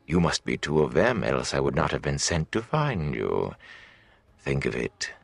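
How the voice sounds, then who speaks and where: Man voicing a sleek, slow-talking male villain. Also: very deep voice, very animated, vocal fry, no room sound, pitched below the performer's own voice, heavily acted. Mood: sleek, menacing. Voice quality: sleek, thick. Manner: drawn-out, coaxing then commanding. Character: sleek, menacing, self-important male servant of a dark lord. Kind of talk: audiobook villain dialogue.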